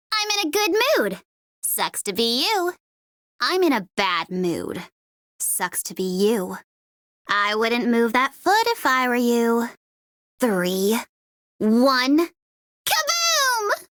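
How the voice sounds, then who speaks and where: A woman's voice: on the high side, a bit bright, no echo, clean studio sound, heavily acted. A woman playing a cocky young mercenary in a video game, with a mocking, playful and sardonic manner. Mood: playful menace. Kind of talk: video game character voice lines.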